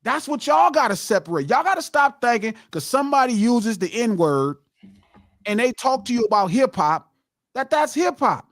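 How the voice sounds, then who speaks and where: A man with a high voice that swings widely in pitch, hammering home his point in a heated podcast debate. He talks emphatically, like a preacher, and repeats lines for weight. The sound is close and dry, with no room around it. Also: sing-song, moderate pace, fairly bright tone, no room sound. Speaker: podcast host.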